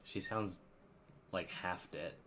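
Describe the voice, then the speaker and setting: A woman's voice: very deep voice, slow pace, fairly bright tone, a little hiss or hum. A woman giving casual, unscripted live commentary as a game streamer, with loose phrasing and some swearing. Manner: casual, swearing, filler-heavy.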